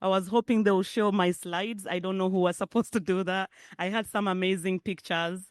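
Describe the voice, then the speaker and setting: A woman's voice: medium pitch, fairly even intonation, fairly quick, no room sound, clean studio sound. A woman with a Ugandan accent giving a personal keynote speech at a public forum, chatty and laughing, with asides to the audience. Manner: conversational, laughs often, makes off-the-cuff asides to the audience. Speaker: keynote speaker.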